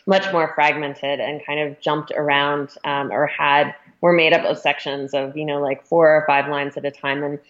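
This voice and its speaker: A woman's voice: sits low, flat delivery, normal speed, hardly any pauses, a bit bright. A woman speaking as an interviewed magazine editor, explaining things in an informal, conversational way with some hedging. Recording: dull, muffled lo-fi audio.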